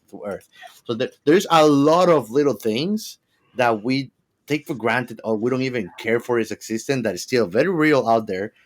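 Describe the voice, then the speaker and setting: A man speaking earnestly and emphatically in a casual podcast discussion, musing philosophically with slightly halting, non-native phrasing. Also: mid-range voice. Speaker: podcast panelist.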